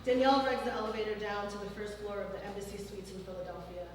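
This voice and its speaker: A woman reading her fiction aloud at a live event in a large former church hall, in a measured, even way. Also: mid-range voice. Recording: distant mic in a very echoey hall, faint noise.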